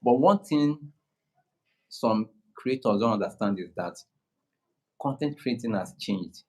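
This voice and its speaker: Unhurried Nigerian man, low voice. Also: expressive. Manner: slow, unhurried, many restarts. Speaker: guest creator. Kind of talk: casual conversation.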